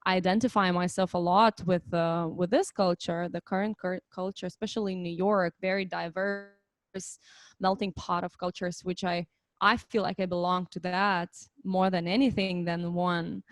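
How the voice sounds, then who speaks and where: A woman's voice: lowish voice, flat delivery, normal speed, dry recording. A woman, a musician answering interview questions thoughtfully with frequent hesitations over a video call. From Lithuania. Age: 30s. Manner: thoughtful, hesitant, many fillers. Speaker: musician guest. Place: home, online interview.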